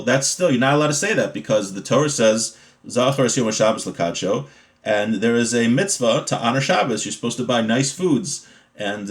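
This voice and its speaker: Man teaching an informal religious law lesson. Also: medium pitch. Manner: explanatory, checks in with rhetorical questions.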